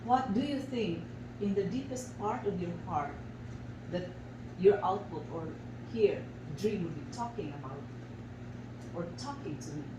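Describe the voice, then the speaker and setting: A woman with a Filipino accent leads a guided expressive arts lesson as facilitator, speaking deliberately with measured pauses. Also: medium pitch. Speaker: workshop facilitator and teacher. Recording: echoey, distant microphone, loud background noise.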